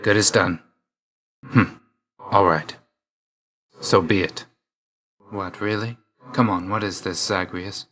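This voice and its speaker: An actor playing a brooding young god of death in a video game, curt and dour, with clipped, stern, weary delivery. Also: male voice, on the low side, steady tone, slow, long pauses, a bit bright. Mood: terse, weary. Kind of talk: video game character voice lines.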